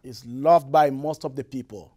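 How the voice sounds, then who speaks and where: A young man from the Republic of the Congo with a Congolese French accent, giving halting interview answers full of fillers and repeats. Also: mid-range voice, fairly even intonation, warm tone. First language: Lari. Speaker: interview guest, musician. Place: studio with blue curtain. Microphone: lapel mic.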